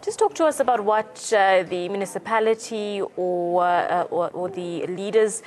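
A woman's voice: medium pitch, moderate pace, darkish tone, a little hiss or hum. A South African woman in her thirties presenting live news as a studio anchor.

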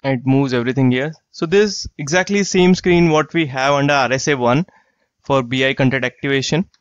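A man walking through a software tool in a screen-share tutorial, explaining plainly and repeating himself. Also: mid-range voice, a little fast, clean tone, no room sound. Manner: plain explanatory, repeats phrases like "you get the idea". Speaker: software trainer. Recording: dry, slightly dull lo-fi voice track.

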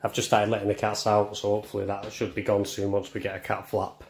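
Young guy doing a house tour on his phone, lowish voice, chatty and jokey, takes the mick out of himself. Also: completely flat delivery, fast talker, creaky. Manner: chatty, self-deprecating, jokey. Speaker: vlogger. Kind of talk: casual house tour narration. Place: indoors, walking through rooms of a house. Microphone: handheld phone camera.

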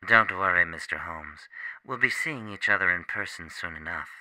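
A man playing a deep, menacing mastermind. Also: normal speed, sharp tone, poor audio. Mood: cool menace. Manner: curt, coldly polite. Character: cool, menacing male criminal mastermind professor. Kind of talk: radio drama acting.

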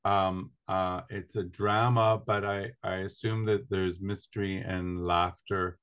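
A middle-aged man hosts an interview over a video call from a room with a big window. He talks casually and chattily, dotted with uhs, in a somewhat low voice. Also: level tone, takes it slowly, a bit bright, clean tone, dull audio. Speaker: interviewer, host. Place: indoor room with large window.

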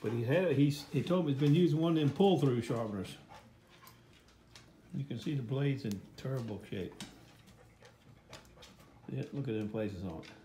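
An older American man talks casually to his phone, wandering from point to point in folksy, plain-spoken style. The sound is echoey, with faint noise behind his voice. His pitch sits in the middle range for a man. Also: normal speed, pauses often, warm tone. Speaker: vlogger. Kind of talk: casual rambling walk-through commentary. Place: inside a house under renovation, later outdoors. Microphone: handheld phone. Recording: echoey rooms, background noise, handheld.

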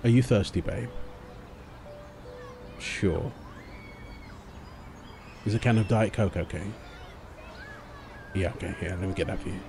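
A man's voice: low voice, unhurried, gravelly, long pauses, background noise. A man playing a doting young husband and new father, tender and playful, in an ASMR boyfriend roleplay. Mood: easygoing, caring.

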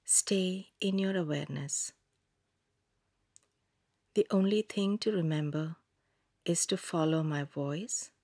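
A woman guiding a yoga nidra meditation in a calm, soothing manner, giving gentle instructions in a soft, breathy voice with long pauses. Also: fairly low pitch, no room sound, studio quality. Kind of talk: scripted guided meditation narration.

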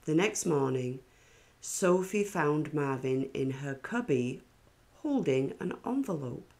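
A woman with a low voice narrates a picture book for children. Her reading is extremely unhurried and deliberate, with an expressive storyteller's delivery. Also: vocal fry.

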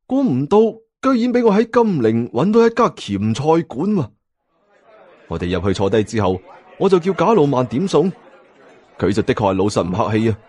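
A man with a Cantonese accent narrating an audiobook in a dramatic, expressive storytelling style. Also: mid-range voice, no echo. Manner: expressive, acts out dialogue. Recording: music bed behind narration. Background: background music.

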